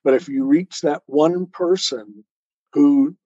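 A man's voice: a little high, slow pace, warm tone, no echo, dull audio. A man speaking as an interview guest, explaining his views as an author in a reflective, conversational way. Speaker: guest author, storyteller and podcaster.

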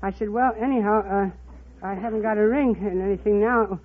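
A man's voice: high-pitched, steady tone, a little fast. An American man in his seventies from Kentucky, telling a meandering anecdote in a folksy way as a show contestant. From Kentucky, United States. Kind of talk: rambling anecdote. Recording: tinny, noisy old radio recording with audience. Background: live studio audience.

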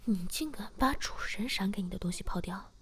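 A woman voicing a stern, teasing master in a low, steady whisper. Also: fairly quick, a little hiss or hum, natural voice.

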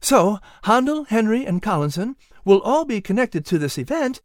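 A wise, gentle butterfly, voiced by a woman, speaking calmly in a storybook. Her voice moves up and down in a lively way. The sound is close and dry. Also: mid-range voice, normal speed, no room sound, lightly acted. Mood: knowing. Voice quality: calm, knowing.

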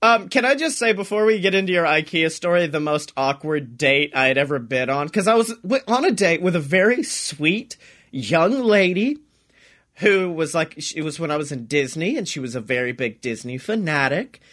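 A man co-hosts a comedy podcast with casual, profane banter. His voice is high and sharp, and his manner is excitable and giggly. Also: normal speed.

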